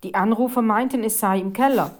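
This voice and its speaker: A woman's voice: a little high, fairly quick, pitched above the performer's own voice, natural voice. A woman voicing a confident, eager boy detective who calls out emphatically in a storybook reading. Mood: urgent.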